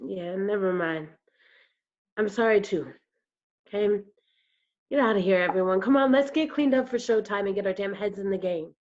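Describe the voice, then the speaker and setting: A woman's voice: medium pitch, moderate pace, long pauses, a little mellow, natural voice. A woman playing a guarded, sardonic circus performer in a staged play reading, speaking dryly and curtly. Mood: weary. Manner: dry, curt, sardonic. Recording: muffled video call.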